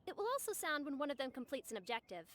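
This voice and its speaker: A woman's voice: high voice, sing-song, quick pace, a bit bright. A woman playing a polite, cheerful young festival organizer in an anime game, with courteous and formal phrasing. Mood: cheerful, businesslike.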